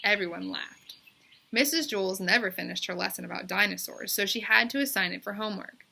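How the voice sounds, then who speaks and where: A woman reading a children's storybook aloud in expressive narration. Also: medium pitch, vocal fry. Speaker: storybook reader.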